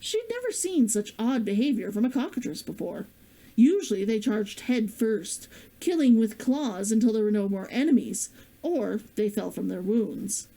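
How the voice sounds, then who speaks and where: A woman streamer and author with an expressive way of talking, chatting to viewers and reading a story aloud. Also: fairly high pitch, moderate pace, low-quality recording. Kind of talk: chatty greeting, then storybook read-aloud.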